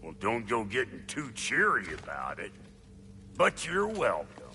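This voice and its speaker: An actor playing a gruff, sarcastic dwarf blacksmith in a video game. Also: male voice, fairly low pitch, huge pitch swings, measured pace, pushed and bright, in a large hall, slight background noise, exaggerated voice. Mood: gruff, brusque. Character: gruff, brash blacksmith dwarf, grown man. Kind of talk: video game character acting.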